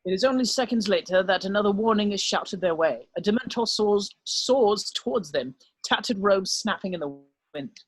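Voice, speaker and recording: A man's voice: high-pitched, lively intonation, normal speed, few pauses, husky, dry recording, low-quality recording. A man narrating scripted stage directions at an amateur drama table read.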